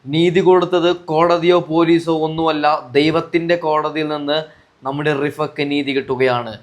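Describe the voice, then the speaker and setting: High-voiced Malayali man, indignant commentary. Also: fairly even intonation, normal speed. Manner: indignant, repetitive, rhetorical questioning. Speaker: vlogger commenting on social media disputes. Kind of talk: accusatory commentary addressed to viewers.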